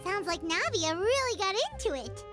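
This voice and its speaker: A woman's voice: very high-pitched, very animated, normal speed, over music. A woman voicing a tiny, childlike fairy companion in a video game, chirpy and eager. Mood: chirpy, curious. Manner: chirpy, eager, refers to self by name.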